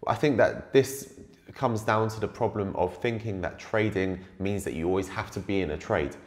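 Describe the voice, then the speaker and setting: A man's voice: fairly low pitch, moderate pace. A young man answering viewers' trading questions to camera, his voice worn from illness. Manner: animated, gestures while talking. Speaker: trading channel host. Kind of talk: casual Q&A to camera. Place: indoor room against a plain wall.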